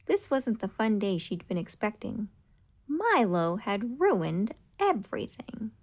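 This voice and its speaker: A woman narrates a children's storybook in a deliberate, expressive way. Her pitch moves around a lot at a middling height, keeping the telling animated for young listeners. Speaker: storybook reader.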